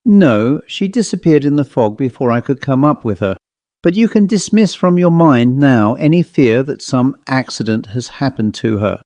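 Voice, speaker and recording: A man voicing a perplexed, brisk upper-class gentleman in an audiobook story, speaking in an educated, musing way. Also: mid-range voice, animated, no room sound, natural voice. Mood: matter-of-fact. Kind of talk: audiobook character voice.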